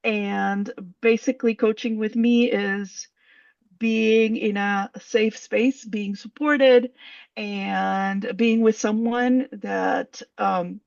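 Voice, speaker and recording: A woman coach from Puerto Rico, speaking gently and thoughtfully in a casual chat. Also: mid-range voice, fairly even intonation, vocal fry, no echo. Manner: slow, gentle, thoughtful with fillers. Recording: dry, dull lo-fi mic.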